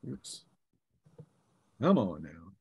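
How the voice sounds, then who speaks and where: A man's voice: deep voice, sing-song, drawn-out words, slightly rough, dry recording, highs cut off. A man acting as game master, narrating dice combat in an informal, conversational way. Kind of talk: running a tabletop role-playing game.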